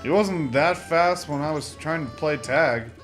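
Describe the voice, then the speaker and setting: A man's voice: a little high, a little slow, a bit bright. A man hosting a let's play with casual, rambling gameplay commentary, often interrupting himself with joking asides. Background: video game music.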